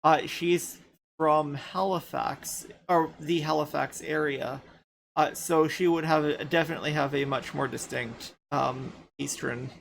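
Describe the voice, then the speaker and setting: Halting panelist from Ontario with a gravelly, mid-pitched voice. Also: fairly even intonation, a little hiss or hum, low-quality recording. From Ontario, Canada. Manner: halting, many "uh"s and restarts. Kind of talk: casual panel chat.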